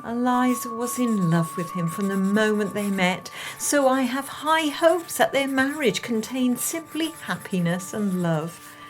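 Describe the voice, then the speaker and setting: Actress as slow-speaking, earnest genteel young Englishwoman. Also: a little high, expressive, faint music behind, poor audio. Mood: fond, resolute. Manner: formal, carefully enunciated, heartfelt. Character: earnest, genteel young Englishwoman of 1910s, romantic, independent-minded. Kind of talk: period radio drama acting, diary narration.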